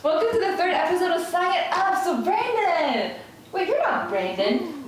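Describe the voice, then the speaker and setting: A woman's voice: very high-pitched, big pitch swings, moderate pace, heavy reverb, distant mic, a little hiss or hum. A woman hosting a casual podcast, bubbly and playful, cheering on her guests. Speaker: podcast host.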